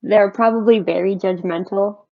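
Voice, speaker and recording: A woman's voice: medium pitch, steady tone, moderate pace, creaky. A young woman co-hosting a podcast in casual, conversational talk. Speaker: podcast co-host. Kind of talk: casual chat. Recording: dull lo-fi audio.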